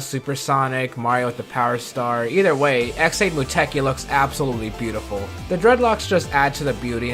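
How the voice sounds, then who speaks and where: A man hosting a scripted countdown video, delivering commentary with wry, self-deprecating asides. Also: mid-range voice. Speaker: video host. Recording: background music under the voice. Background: music.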